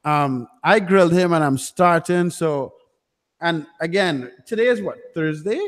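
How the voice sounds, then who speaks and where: A man's voice: on the high side, lively intonation, unhurried. A man from Trinidad hosting a casual livestream chat, joking and swearing freely. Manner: profane, joking banter. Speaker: YouTube host. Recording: reverberant, hall-like echo.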